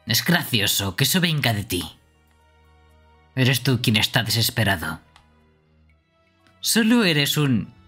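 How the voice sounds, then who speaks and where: A man's voice: medium pitch, very animated, slow, pauses often, sharp tone, breathy edge, soft background music, full character voice. A man voicing an irritable, foul-mouthed young male killer in an amateur game dub, aggressive and impatient. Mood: angry, exasperated. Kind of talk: amateur video game dubbing.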